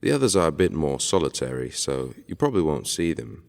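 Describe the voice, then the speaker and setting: A man playing a cocky, teasing but friendly alien paladin warrior in an audio drama, with a deep, gravelly voice and terse manner. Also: very animated, a little fast, exaggerated voice. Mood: friendly, confident. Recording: reverberant hall-like sound.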